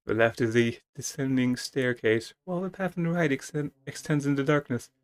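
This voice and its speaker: A man's voice: mid-range voice, a little fast, clear, resonant tone. A man playing a video game, reading its text aloud dramatically with stumbles and casual commentary. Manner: theatrical, stumbles over written text. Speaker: video game player and streamer.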